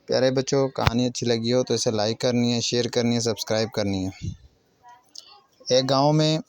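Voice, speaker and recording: A man narrating a moral story for children like a teacher, speaking directly to young listeners in short separated phrases. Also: medium pitch. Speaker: children's storyteller and channel host.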